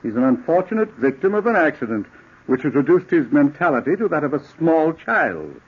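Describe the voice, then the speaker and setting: An actor playing a mild, reasonable professor who speaks calmly and politely, in 1940s radio acting style. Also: male voice, a little high, husky. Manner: calm, polite, measured. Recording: tinny old radio recording.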